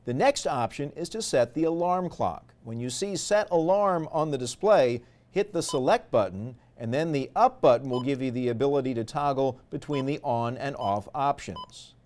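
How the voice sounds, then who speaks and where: A man's voice: medium pitch, darkish tone. A man narrating a product tutorial step by step in a methodical, explanatory way. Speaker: instructional presenter.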